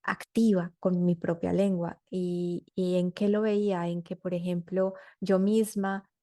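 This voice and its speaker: A woman's voice: fairly low pitch, flat delivery, unhurried, dark tone, no room sound, studio quality. A Colombian woman guest in her forties, telling her story thoughtfully and searching for her words. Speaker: interview guest, mother. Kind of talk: conversational interview.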